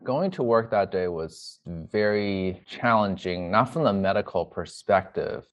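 A man with a fairly low voice shares a personal account as an interviewee. He is earnest and thoughtful, reasoning aloud and repeating words to stress a point. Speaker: interviewee, emergency physician.

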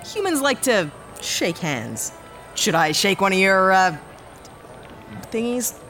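Casting: actress as broke female starship pilot, sardonic and sceptical, lively swinging pitch, sci-fi audio drama with music underneath. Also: takes it slowly. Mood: awkward, joking. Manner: sarcastic, sceptical, hesitant asides. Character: wry, hard-up human woman spaceship pilot for hire.

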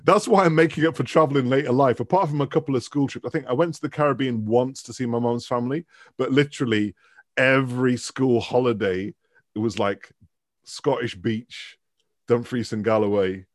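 A man's voice: medium pitch, fairly quick, dry recording. Middle-aged manchester guy rambling on as a podcast guest, dry close sound. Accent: Mancunian with some Dundee. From Manchester, England. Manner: chatty, rambling, laughs easily. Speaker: guest, university lecturer. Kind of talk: casual interview chat.

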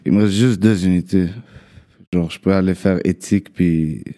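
A young man is answering questions in a relaxed interview. His is Arabic. He speaks haltingly, restarts his phrases and tags sentences with a loose "là". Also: low voice. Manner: halting, repeats words, trails off with "là". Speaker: guest rapper. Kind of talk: casual interview chat.

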